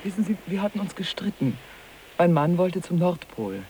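A yearning, strained wife in a radio drama. Her pitch moves expressively as she speaks in a forthright, searching way. There is clear ambient noise on the taped recording. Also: sing-song, normal speed, warm tone, rough recording. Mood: questioning.

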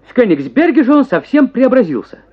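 A man giving an expressive literary narration as an actor reading a story for radio. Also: very high voice, brisk, narrow, tinny audio.

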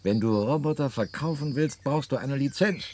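An actor playing a frank, pessimistic lawyer in a radio play, lecturing in a matter-of-fact, bluntly discouraging way. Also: male voice, medium pitch, moderate pace, a little mellow, close to an everyday voice. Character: frank, pessimistic male lawyer advising a friend. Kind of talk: German radio play acting. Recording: radio play with noticeable background noise.

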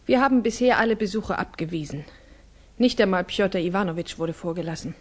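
A woman's voice: medium pitch, brisk, lightly acted. An actress playing an earnest, worried young Russian woman of good family in a 1960s German radio drama, grave and formal.

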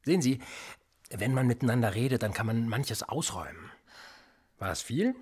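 An actor playing a cold, precise police interrogator in a radio play, pedantic and insinuating. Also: male voice, mid-range voice, expressive, moderate pace, a little mellow, breathy edge. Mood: probing. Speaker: police officer interrogating a suspect.

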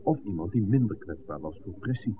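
A wry, slightly pompous visitor in a vintage radio drama, played by a man, polite and fairly low in pitch. The sound is narrow and faintly noisy. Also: animated, a little fast, natural voice. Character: self-mocking, somewhat pompous visiting film man. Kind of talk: 1960s Dutch radio drama acting.

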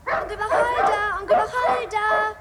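A woman playing a lively, mischievous little girl in a German radio play, chattering and pleading, on a noisy recording with a dog. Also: very high-pitched, moderate pace. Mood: calling out.

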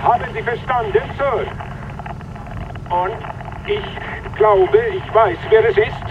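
A wary ship's captain in a radio drama, deferring to his boss. The high voice is squeezed through a tinny, phone-like radio channel with clear noise. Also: slow. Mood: urgent. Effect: radio transmission filter. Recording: thin, phone-like noisy radio link.

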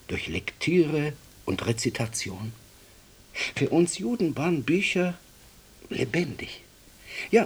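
Very husky, devout old-world librarian. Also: male voice, sits low, exaggerated voice. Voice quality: husky, breathy. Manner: reverent, reflective storytelling with deferential asides. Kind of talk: radio drama dialogue.

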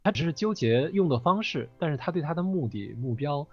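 A man speaking as a guest in a casual panel chat, explaining things haltingly. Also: fairly high pitch, lively intonation, muffled recording. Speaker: interview guest, voice drama performer. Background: faint music.

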